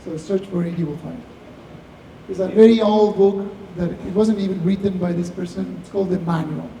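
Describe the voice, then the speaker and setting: Distant, high, mellow Argentinian man. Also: steady tone, moderate pace. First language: Spanish. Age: 50s. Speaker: tech founder, guest speaker. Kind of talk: live Q&A, anecdotal talk. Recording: distant echoey hall, faint noise.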